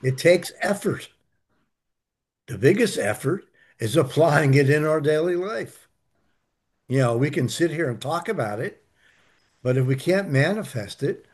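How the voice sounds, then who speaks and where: Husky earnest male teacher. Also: on the high side, darkish tone. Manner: earnest, emphatic, repeats key phrases. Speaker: teacher of a study group. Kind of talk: answering questions in a spiritual study session.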